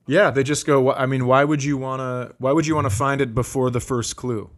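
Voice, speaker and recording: A man, a comedian co-hosting a podcast, trading casual banter with dry, quick quips. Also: medium pitch, fairly even intonation.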